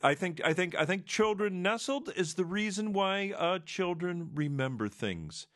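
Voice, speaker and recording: A man's voice: high voice, expressive, unhurried, a bit bright, dry recording, studio quality. A middle-aged man with a Michigan accent, co-hosting a casual chat show and explaining things in long, rambling stretches full of restarts. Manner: rambling, restarts often, explains with computer comparisons.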